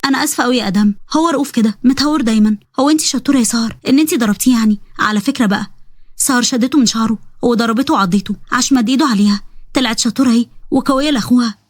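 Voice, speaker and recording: A woman's voice: on the high side, racing, dry recording, clean studio sound, close to an everyday voice. A woman with an Egyptian accent voicing a firm, fair company owner who talks in a commanding way. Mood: apologetic.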